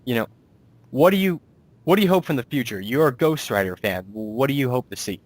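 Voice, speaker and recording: A man's voice: mid-range voice, expressive, fairly quick, no echo. A man hosting a casual interview as a writer and producer, talking in a rambling, self-deprecating way.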